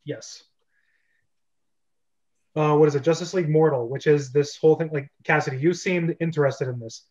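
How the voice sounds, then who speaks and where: A man's voice: on the high side, fairly even intonation, normal speed, a little mellow. A man hosting a casual livestreamed group chat about scripts, talking in an informal, rambling way. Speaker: host of an online script reading club. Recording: dull, lo-fi online stream audio.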